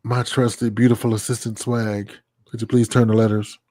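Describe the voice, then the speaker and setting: A man's voice: on the low side, flat delivery, normal speed, warm tone. A man hosting a live-streamed talk show, blunt and emphatic, and he swears freely. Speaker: host.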